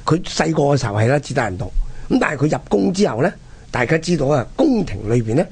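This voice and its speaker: A man with a Hong Kong Cantonese accent and a husky, gravelly voice, telling history conversationally and explaining it to the listener. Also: mid-range voice, big pitch swings, fast talker, a little mellow. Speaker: co-host of a history programme.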